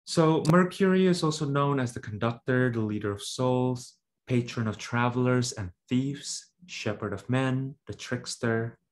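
A man in his thirties from Indonesia giving a reflective online talk on a webcam, with many "right?" checks and "um" pauses. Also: mid-range voice. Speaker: symposium speaker. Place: indoor room at home. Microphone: webcam video call.

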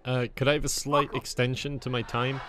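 A man doing playful stand-up banter, telling jokes in a drawn-out, mock-apologetic way. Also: medium pitch, little pitch movement, a loud crowd. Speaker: comedian and host of a gaming video. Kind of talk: joking stand-up banter in an online comedy game.

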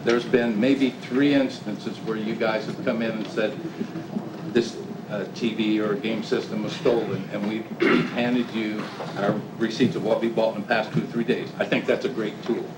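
A man's voice: fairly low pitch, little pitch movement, normal speed. A middle-aged man giving public comment at a city council meeting, emphatic and repeating his complaints. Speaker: business owner speaking at public hearing. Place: small council chamber, standing before audience. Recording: echoey room with background noise.

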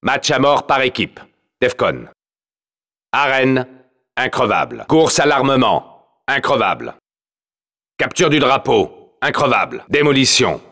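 Military game announcer: battle-hardened soldier, terse and authoritative, forceful tone, lively intonation. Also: male voice, medium pitch, measured pace, pauses often. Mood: firm, clipped. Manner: terse, authoritative, clipped phrasing. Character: male soldier multiplayer announcer, commanding, urgent, battle-hardened. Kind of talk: military game announcement, clipped radio-style callouts.

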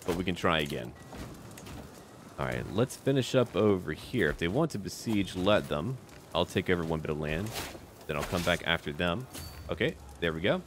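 A man with a deep voice gives casual commentary while playing a strategy game. His pitch moves around a lot as he muses aloud and talks to the characters in short remarks. Faint music plays behind him. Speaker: gaming video creator playing a strategy game. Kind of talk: casual let's play commentary.

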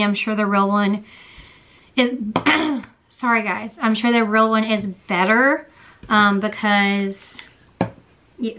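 A young woman in her twenties chatting casually in a bedroom vlog, a little hoarse after losing her voice, with lots of "um" and "like". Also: medium pitch, highs cut off. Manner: chatty, many fillers like "um" and "like". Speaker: beauty vlogger. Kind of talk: casual product review vlog. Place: small bedroom, indoors. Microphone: camera in front at close range.

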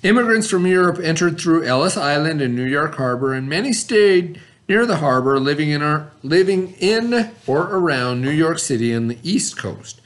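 A man's voice: fairly high pitch, expressive, moderate pace, few pauses. A middle-aged American man teaching young pupils, reading aloud and explaining in an instructive way as an elementary school teacher. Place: indoor school classroom.

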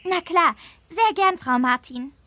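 A woman's voice: very high-pitched, expressive, measured pace, pushed and bright, a little hiss or hum, exaggerated voice. A woman voicing an eager, polite young girl on a riding holiday in a video game. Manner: enthusiastic, polite. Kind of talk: scripted video game dialogue.

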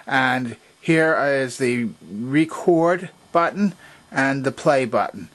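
A man's voice: mid-range voice, slow pace, fairly bright tone. A man presenting a hands-on repair demonstration, explaining methodically and pointing things out step by step.

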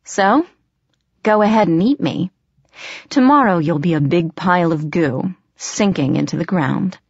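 A woman voicing a frightened but quick-thinking captive girl who bluffs and pleads, in an audiobook. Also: lowish voice, lively intonation, breathy edge, dry recording, lo-fi sound, exaggerated voice. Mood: defiant. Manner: bluffing, pleading, hesitant when cornered. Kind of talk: audiobook character voice.

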